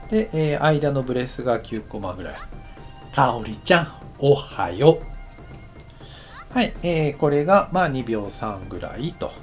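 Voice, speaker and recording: A man giving a casual, explanatory tutorial as an anime director, thinking aloud in short halting phrases. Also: mid-range voice. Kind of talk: instructional lesson narration, casual and explanatory.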